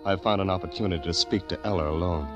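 A very deep-voiced gentleman, played by an actor in an old radio drama, with music clearly behind him. He is earnest and anxious, kindly and inquisitive, and speaks with a measured diarist's care. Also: close to an everyday voice. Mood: measured narration. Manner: measured diary narration, stammers when agitated. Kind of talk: 1940s radio drama acting.

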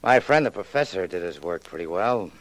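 A man's voice: sits low, animated, brisk, poor audio. A man acting a blunt, self-satisfied, menacing crime boss in a 1940s radio drama, with an American accent. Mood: smug. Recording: old 1940s radio recording.

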